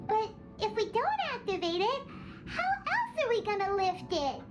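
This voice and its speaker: A tiny floating sidekick creature chirps in a very high, childlike voice that leaps up and down with every exclamation. She is bubbly and excitable, and she talks about herself by name. Loud game music plays behind her. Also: unhurried, heavily acted. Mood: fussing. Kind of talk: video game dialogue.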